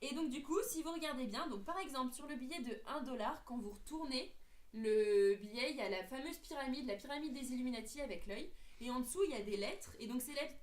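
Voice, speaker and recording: A woman's voice: high-pitched, moderate pace, a little hiss or hum. A young woman in her late teens, explaining things earnestly in a casual group discussion and hesitating often. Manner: earnest, hesitant with many fillers. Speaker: co-host presenting theories. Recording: echoey room.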